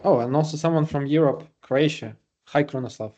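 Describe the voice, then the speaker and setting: A man from Latvia with a Latvian accent, presenting a webinar in an informal way with frequent pauses. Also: fairly high pitch, mellow. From Riga, Latvia. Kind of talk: semi-scripted webinar presentation.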